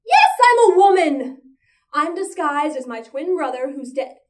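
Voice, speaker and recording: A woman's voice: sits high, very animated, a little slow. A woman playing an exasperated young woman disguised as her twin brother, lecturing impatiently. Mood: indignant. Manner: impatient, lecturing, spells things out. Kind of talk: audition monologue. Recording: voice distant from the mic.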